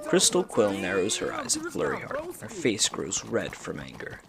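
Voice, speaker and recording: A man's voice: fairly low pitch, huge pitch swings, moderate pace, creaky. A man narrating a scripted story in a dramatic storybook style.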